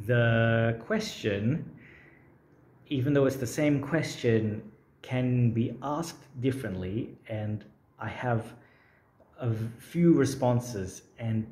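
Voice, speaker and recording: A man with a low, mellow voice, musing aloud in an unplanned talk to camera. He rambles thoughtfully, pausing often on fillers. The sound is roomy and echoey. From Australia. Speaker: language-learning vlogger. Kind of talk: unscripted casual talk to camera. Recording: roomy echo.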